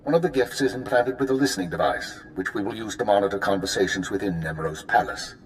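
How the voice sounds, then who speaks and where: A man voicing a stern, precise intelligence handler giving crisp, commanding orders in a video game. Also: lowish voice, fast, a little hiss or hum, close to an everyday voice. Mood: briefing. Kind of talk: video game cut-scene acting.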